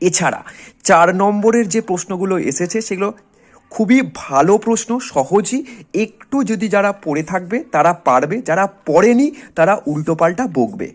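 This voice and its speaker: A man with a Bengali accent from West Bengal teaching an exam lesson to school students, repeating phrases and asking check questions. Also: sits high. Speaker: history teacher, online exam coach.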